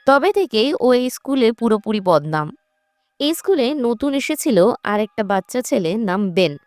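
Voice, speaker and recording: A woman's voice: a little high, a little mellow, no echo, clean studio sound. A woman narrates a scripted horror film recap in a storytelling style, as a voice-over. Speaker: narrator of a movie recap channel. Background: background music.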